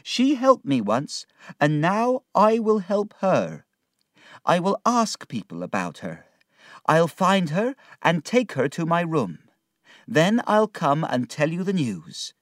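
A man's voice: a little high, very animated, takes it slowly, no echo, studio quality, voice pushed up. A man voicing a desperate, sorrowful young woman from the streets in an audiobook, pleading and emotional. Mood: grateful, earnest. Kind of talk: audiobook character acting.

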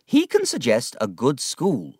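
A man voicing a stern, decisive older woman who talks coldly and firmly, raising his voice high above his own. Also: huge pitch swings, a little slow, slightly rough, no echo, full character voice. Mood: brisk. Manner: cold, firm and commanding. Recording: dry, distant from the mic.

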